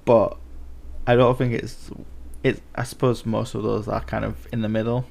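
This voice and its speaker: A man's voice: fairly low pitch, little pitch movement, moderate pace, vocal fry, a little mellow. A young man co-hosting a casual film podcast, laid-back with dry remarks. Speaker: podcast co-host.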